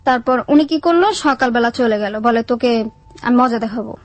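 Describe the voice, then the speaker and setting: A woman's voice: fairly high pitch, normal speed, no room sound. A young adult woman with a Bangladeshi Bengali accent telling her life story in a colloquial, plain-spoken way as an interview guest.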